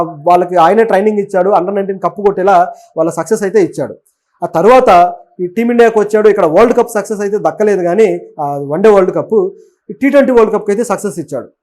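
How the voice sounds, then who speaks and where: A man presents sports and political news in a conversational way. His voice sits high and he talks quickly. He explains as he goes, gives his opinions and drops in English words. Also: darkish tone. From Telugu states of southern India. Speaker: web news presenter and commentator.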